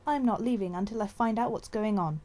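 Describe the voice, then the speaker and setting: A woman's voice: mid-range voice, fairly quick, mellow, voice pushed up, close to an everyday voice. A woman voicing a feisty young female apprentice cat in an audiobook, indignant and challenging. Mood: defiant.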